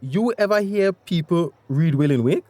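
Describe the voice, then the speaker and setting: A man's voice: fairly high pitch, expressive, moderate pace, a little mellow, dry recording. An actor playing a brash, suspicious man in a radio drama, with a Tobagonian accent and a confrontational, mocking manner. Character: brash, suspicious man challenging everyone at a wake.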